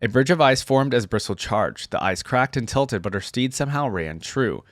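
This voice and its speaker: Man, reaction video host, mid-range pitch, informal commentary and reading aloud, dry room-free sound. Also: lively intonation, moderate pace, no echo. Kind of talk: casual reaction commentary mixed with reading story text and game descriptions aloud.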